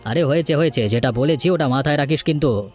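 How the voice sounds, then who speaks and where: A man plays a domineering older village headman from a cartoon folk tale. He is brusque and scheming, dismissing others in a mid-pitched male voice, with music behind him. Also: quick pace, a little mellow, natural voice. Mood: scheming, bossy. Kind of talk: animated folk-tale voice acting.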